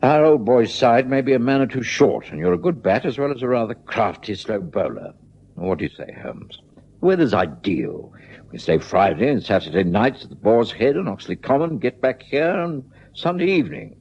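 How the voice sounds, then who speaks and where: A man playing a genial middle-aged English gentleman doctor and narrator in a radio drama, with a British accent. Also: mid-range voice, sing-song, moderate pace, breathy edge. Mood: agreeable, persuading. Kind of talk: radio drama acting and first-person narration. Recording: dull lo-fi radio recording, music behind.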